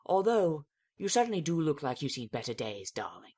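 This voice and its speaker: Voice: medium pitch, big pitch swings, a little fast, a little mellow, husky. A reader puts on a refined female cartoon pony. She is ladylike and affected, with genteel phrasing and a very varied melody, as in a storybook drama. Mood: mildly reproving.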